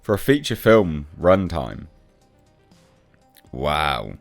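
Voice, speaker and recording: Male reviewer, low-pitched voice, blunt sceptical off-the-cuff commentary over clear background music. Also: very animated, extremely slow, dry recording. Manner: blunt, sceptical, swears casually. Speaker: film reviewer, channel host. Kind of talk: casual off-the-cuff commentary on film news.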